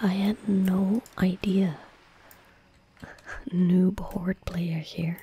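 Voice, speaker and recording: Whispering young woman, slow ASMR. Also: fairly low pitch. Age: young adult. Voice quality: whispery, breathy, unvoiced. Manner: gentle, unhurried, murmured asides to self. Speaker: ASMR gaming streamer. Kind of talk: soft-spoken ASMR game commentary. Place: indoor room at a computer. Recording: faint background noise, game ambience. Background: soft game ambience, faint noise.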